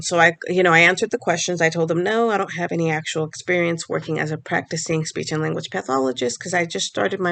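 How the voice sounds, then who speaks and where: A woman's voice: on the low side, vocal fry, a bit bright. A woman whose first language is Spanish, talking casually to camera as a vlogger and explaining things in a conversational way. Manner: explanatory, conversational, restarts phrases. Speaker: vlogger and speech pathology grad student.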